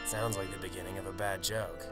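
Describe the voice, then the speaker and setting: Radio-play detective, played by a man: cynical big-city cop, gruff and sardonic, music clearly behind. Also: low voice, little pitch movement, fairly quick. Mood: dismissive, sardonic. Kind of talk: amateur radio drama acting.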